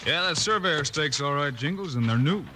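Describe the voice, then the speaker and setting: An actor playing a calm, shrewd, heroic marshal in a 1950s western radio drama, terse and observant. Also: male voice, a little high, normal speed, lightly acted. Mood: observant, puzzled. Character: steady, shrewd heroic male United States Marshal. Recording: noisy old 1950s radio recording.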